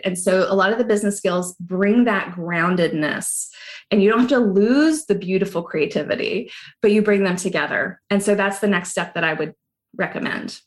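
A middle-aged woman from Hawaii talks at a mid pitch in an unhurried, reflective way. She weighs her thoughts aloud and speaks warmly as a guest in an interview. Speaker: guest, yoga teacher. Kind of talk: conversational interview.

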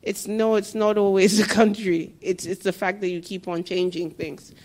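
A woman speaking on development finance and energy, answering questions with candid, animated asides. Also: medium pitch, normal speed. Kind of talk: answering audience questions at a talk.

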